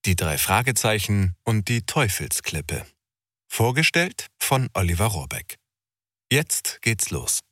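A man's voice: on the low side, big pitch swings, takes it slowly, a bit bright, no room sound. A man reading a formal program announcement. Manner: formal presenter delivery. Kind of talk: scripted announcement.

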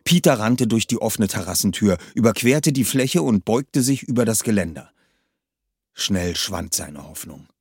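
A man's voice: lowish voice, expressive, moderate pace, darkish tone, husky, dry recording, clean studio sound. A man reading a suspense story aloud in a tense, dramatic narration style. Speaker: reader. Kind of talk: audiobook narration.

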